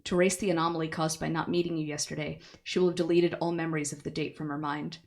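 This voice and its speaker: A woman's voice: sits low, little pitch movement, fast talker, darkish tone. Woman doing a cryptic cloaked entity. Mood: grave. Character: cryptic cloaked androgynous entity, calm and grave.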